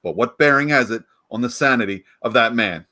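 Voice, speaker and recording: Man playing a sharp, forceful, pompous prosecutor. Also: medium pitch, brisk. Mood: pompous, insistent. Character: pompous, exasperated prosecuting district attorney. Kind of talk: 1940s-style live radio play acting.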